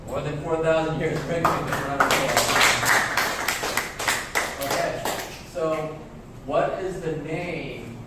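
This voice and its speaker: A man teaching a class, explaining words slowly and clearly and praising students often. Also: mid-range voice, noisy background. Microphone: camera far from the speaker. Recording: echoey classroom from far off.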